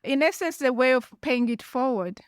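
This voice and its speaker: A woman from Rwanda tells her story in a sincere, unpolished way, often circling back and restarting her sentences. Her voice sits a little high. The sound is dry and close, with no room echo. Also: lively intonation, normal speed. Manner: earnest, with frequent restarts and "like" fillers. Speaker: guest, founder of a women's investment firm. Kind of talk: conversational interview answers. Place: conference venue, recorded live.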